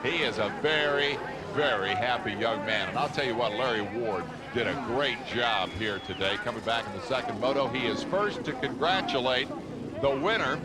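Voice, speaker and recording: A man's voice: mid-range voice, normal speed, a loud crowd. A man calling motocross play-by-play as lead commentator, in punchy, dramatic phrasing. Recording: engine and outdoor noise behind voice. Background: motocross race noise.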